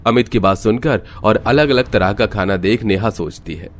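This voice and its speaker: A man's voice: lowish voice, normal speed, soft background music. A man reading scripted storytelling narration for a cartoon family tale.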